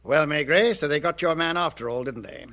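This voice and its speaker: An actor with a British accent playing an affable, brisk senior police officer in a radio drama. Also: male voice, on the high side, bright, forceful tone. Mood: affably brisk. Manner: brisk, conversational authority. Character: affable, breezy male senior police superior.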